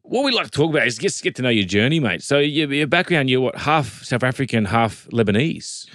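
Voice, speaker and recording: A man hosting a podcast interview, friendly and matey, with quick interjections. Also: medium pitch, very animated, a bit bright, dry recording, studio quality. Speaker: podcast host. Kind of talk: casual interview chat.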